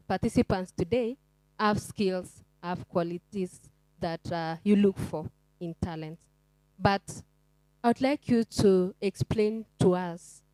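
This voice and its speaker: A woman's voice: mid-range voice, mellow, no room sound, low-quality recording. Woman moderating a career panel. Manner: chatty, teasing asides to the audience.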